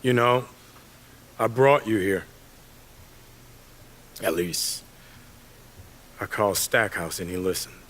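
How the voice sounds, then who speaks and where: An actor playing a shrewd, cryptic American basketball talent scout in a game cutscene, measured, blunt and knowing. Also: male voice, on the low side, a bit bright, a little hiss or hum. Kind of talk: naturalistic video game cutscene acting.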